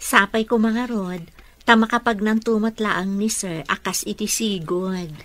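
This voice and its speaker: A woman acting as an earnest, excitable therapist in an Ilocano radio drama. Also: medium pitch, a little slow, lightly acted. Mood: excited. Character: female therapist friend Ami, earnest and excitable.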